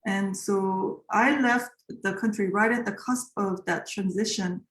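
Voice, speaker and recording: A Korean-born woman composer in her forties, a native Korean speaker, answering interview questions in a reflective way with many pauses and fillers. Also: medium pitch, reverberant.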